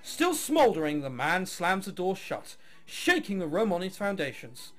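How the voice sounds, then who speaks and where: A man reading visual novel text aloud with casual commentary, in an expressive, dramatic way with wry asides. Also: high voice, moderate pace, soft background music.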